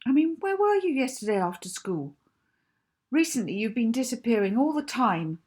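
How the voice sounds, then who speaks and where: A woman's voice: fairly high pitch, very animated, normal speed, a little mellow, breathy edge, pitched above the performer's own voice. A woman voicing a hurt, snappy, defiant schoolgirl in a children's audiobook, heated and accusing. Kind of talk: acted children's audiobook dialogue.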